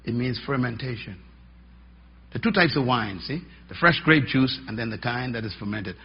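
Middle-aged male pastor, husky, emphatic folksy sermon delivery. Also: medium pitch, expressive, normal speed. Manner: emphatic, rising and dropping for effect, folksy asides. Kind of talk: sermon teaching. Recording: dull lo-fi sermon recording.

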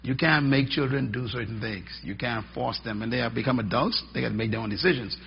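A middle-aged man preaching a teaching sermon, emphatic and folksy, swinging between loud stresses and quiet drops. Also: mid-range voice, fast, creaky, slight background noise. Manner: emphatic, rising and dropping for effect, folksy asides. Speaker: pastor. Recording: dull lo-fi sermon recording.

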